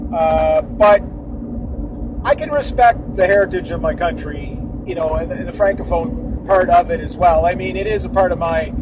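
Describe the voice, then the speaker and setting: A man's voice: high-pitched, normal speed. A man vlogging outdoors by the road at night, rambling casually with lots of fillers and restarts. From Canada. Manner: rambling, many fillers and restarts, chuckling asides. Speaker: vlogger and musician. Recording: clear road and vehicle noise behind.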